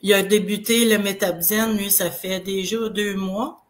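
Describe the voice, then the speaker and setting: A woman with a Québécois accent and a head cold, chatting casually as a guest and telling her own health story in a rambling, halting way. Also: mid-range voice, fairly even intonation. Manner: rambling, halting, full of restarts. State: has a cold, congested. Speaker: guest sharing product testimonial. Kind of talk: casual live chat.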